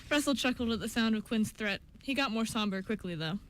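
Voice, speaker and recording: High-voiced woman narrating a story. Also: animated, fairly quick, slight background noise, poor audio. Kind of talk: scripted story narration for amateur radio play.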